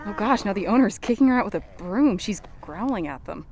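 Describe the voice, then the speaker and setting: A woman's voice: mid-range voice, expressive, fast, darkish tone, slight background noise, lightly acted. A woman acting as an anxious, skeptical young investigator in an audio drama, with a nervous, exclamatory manner. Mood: startled, narrating.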